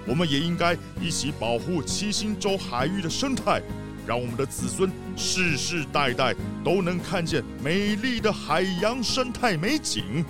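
A man playing a pompous, excitable city mayor in a children's radio drama, with a theatrical, official-sounding manner. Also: fairly high pitch, moderate pace, over music, natural voice. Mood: pompous approval.

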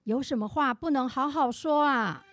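A woman's voice: sits high, normal speed, loud music behind the voice, close to an everyday voice. A woman acting a stern but caring mother in a radio drama. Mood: scolding.

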